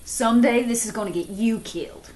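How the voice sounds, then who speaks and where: A woman playing a hot-headed, distrustful frontier recruit in an audio drama, forceful and argumentative. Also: on the high side, fairly quick, lightly acted. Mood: outraged.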